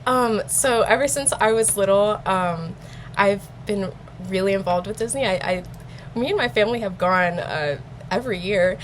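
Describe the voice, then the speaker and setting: A woman's voice: mid-range voice, normal speed, slight background noise, rough recording. A young adult woman chatting casually in a nervous, hesitant, rambling way. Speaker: vlogger and interviewee. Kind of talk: casual chat.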